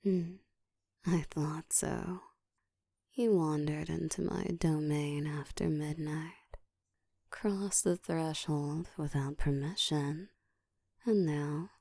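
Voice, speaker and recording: A woman voicing a seductive, dominant ancient vampire in an intimate ASMR roleplay, teasing and commanding, often slipping into a soft whisper. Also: fairly even intonation, slow, no room sound, clean studio sound, heavily acted. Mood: seductive, taunting. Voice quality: soft, breathy whispering mixed with low murmur.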